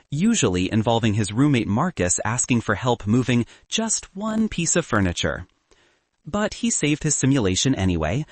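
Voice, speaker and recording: A man reads comic fiction in a lively, swinging intonation. His narration is wry and scripted, recorded very dry and close, audiobook style. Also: mid-range voice, no room sound.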